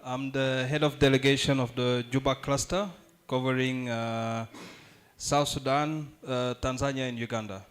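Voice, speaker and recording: A man introducing himself at a meeting, speaking hesitantly with filler pauses into a shared microphone in a conference room. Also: mid-range voice, little pitch movement, clean tone. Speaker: delegation head.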